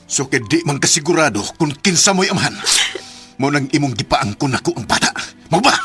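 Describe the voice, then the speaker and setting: A man's voice: a little high, big pitch swings, fairly quick, a bit bright, breathy edge, exaggerated voice. An actor playing a wounded, devoted young man in a radio drama, with a Cebuano Filipino accent. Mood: angry, accusing. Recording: music bed behind the voice.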